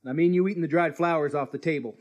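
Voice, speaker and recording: A man doing a high-voiced fussy old fella. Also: rapid-fire, natural voice. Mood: dry, skeptical. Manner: folksy, querulous straight man. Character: fussy, nervous old fella Vern in disguise. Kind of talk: live comedic radio theatre acting.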